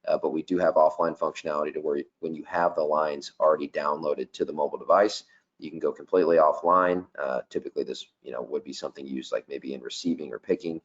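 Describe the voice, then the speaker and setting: A man giving a casual software product presentation in a webinar, with lots of "um" and "you know". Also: rumbling low, fairly even intonation, quick pace, muffled recording. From not Danish. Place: office.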